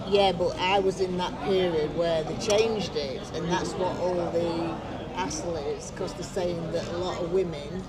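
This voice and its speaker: A woman's voice: lowish voice, fairly even intonation, a little slow. A woman in her sixties chatting casually outdoors, plain-spoken and matter-of-fact. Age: 60s. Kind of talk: casual chat. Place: outdoors on a busy street. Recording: loud crowd noise.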